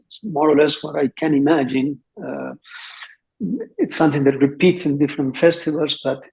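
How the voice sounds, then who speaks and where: A man's voice: on the high side, steady tone, moderate pace, creaky, darkish tone, rough recording. A man moderating a roundtable on a video call, engaged and affirming as he thinks aloud. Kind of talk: conversational discussion.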